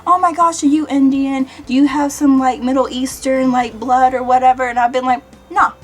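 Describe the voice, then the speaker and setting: A young American woman chatting casually to viewers in an animated, self-deprecating way, like a vlogger. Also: high voice, moderate pace. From Kentucky, United States. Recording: music behind the voice.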